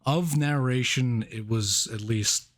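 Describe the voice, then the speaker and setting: A man's voice: medium pitch, slow pace. A man co-hosting a casual movie review podcast, rambling and laughing often, swearing casually. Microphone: own camera setup.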